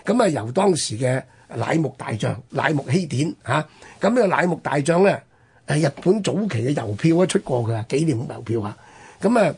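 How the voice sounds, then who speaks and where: A man's voice: fairly high pitch, animated, normal speed, a little mellow, breathy edge. A man with a Hong Kong Cantonese accent telling history conversationally as a radio host, explaining with rhetorical questions and interjections.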